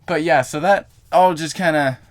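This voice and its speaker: A man's voice: a little high, normal speed. A young man vlogging to camera in a casual, rambling way, full of fillers and self-corrections.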